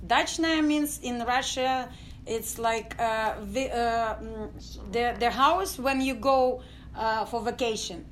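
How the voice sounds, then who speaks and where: A middle-aged woman from Ukraine with a Ukrainian accent, telling her story as an interview guest in halting English full of fillers. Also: fairly high pitch, slight background noise. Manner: halting non-native English with many fillers. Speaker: guest, dance company founder.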